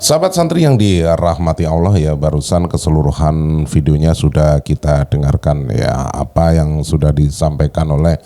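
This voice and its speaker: A man hosting unscripted commentary in a measured, halting way, often searching for his words. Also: very deep voice, mellow. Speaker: commentary channel host.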